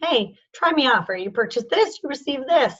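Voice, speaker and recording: A woman training sales representatives in an online session, informal and encouraging, with a voice that is going hoarse. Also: high voice, animated, normal speed, fairly bright tone, highs cut off. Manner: informal and encouraging, checks in with "okay?". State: losing her voice, hoarse.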